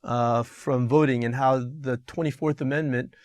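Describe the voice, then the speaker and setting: Halting, filler-heavy man explaining an exam. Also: mid-range voice, fairly even intonation, a little mellow, dry recording, clean studio sound. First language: Korean. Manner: halting, many fillers and restarts. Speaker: teacher. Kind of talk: exam review lesson.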